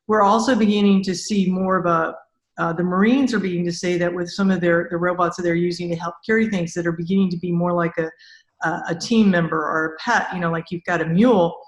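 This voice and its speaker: A woman hosting a casual podcast interview, talking informally and rephrasing loosely as she goes. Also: fairly low pitch. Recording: roomy, echoing sound.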